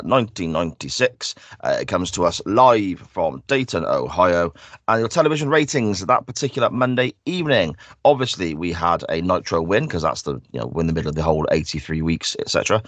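A man hosting a casual podcast, a bit croaky and bunged up, chatty and quick to laugh. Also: sits low, fairly bright tone, dry recording. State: croaky, congested. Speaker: podcast host. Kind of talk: casual chat.

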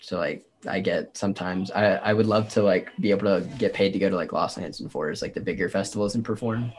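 A man's voice: low voice, a little fast. A man chatting casually as a livestream guest, informal and rambling in how he talks. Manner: informal, rambling, frequent 'like'. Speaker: livestream guest, performer and tarot reader. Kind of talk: casual chat.

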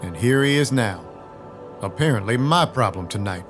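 A man's voice: fairly low pitch, animated, normal speed, natural voice. A man playing a dry, brooding, world-weary vampire detective with terse noir delivery in an audio drama. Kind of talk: first-person noir narration, audio drama acting. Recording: loud music behind the voice.

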